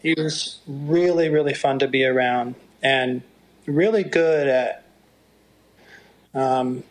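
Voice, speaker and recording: A middle-aged man with a low voice, talking through stories in an interview and sometimes quoting others in a livelier tone. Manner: unhurried, storytelling, quotes others in a livelier voice. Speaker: motocross broadcaster. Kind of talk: reflective interview talk.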